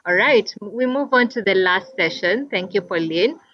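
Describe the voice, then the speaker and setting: A woman's voice: mid-range voice, animated, moderate pace, no echo. A woman hosting an online panel, thanking speakers and introducing the next one with effusive, enthusiastic praise. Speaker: host and event moderator. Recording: dull, dry sound.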